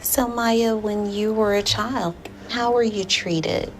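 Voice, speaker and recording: A woman speaking as a therapist in a session, with deliberate, formal phrasing. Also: mid-range voice. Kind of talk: measured counseling session talk. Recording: clear background room noise.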